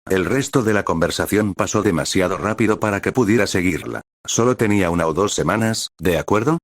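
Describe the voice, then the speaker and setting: A man narrating a fan fiction story in an even, measured reading. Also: low voice, dry recording, clean studio sound.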